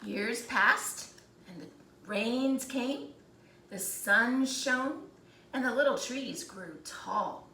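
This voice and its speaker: A woman narrating a children's storybook aloud with deliberate, dramatic phrasing. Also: on the high side, pushed and bright. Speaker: storybook reader. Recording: roomy echo, faint background noise.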